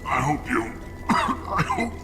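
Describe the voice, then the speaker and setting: An actor playing a dying, plague-stricken male alien in a video game, bitter and contemptuous, weak and halting with sickness. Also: mid-range voice, huge pitch swings, distant mic, music in the background. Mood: bitter, suffering. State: sick, coughing, weak.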